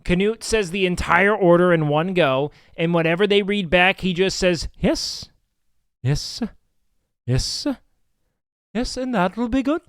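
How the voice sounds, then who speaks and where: A man with a nasal voice chatting casually on his live stream, loud and excitable, swearing often. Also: sits high, a little slow, clear, resonant tone, no echo, studio quality. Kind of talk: casual live-stream chat reacting to clips. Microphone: studio mic with noise gate.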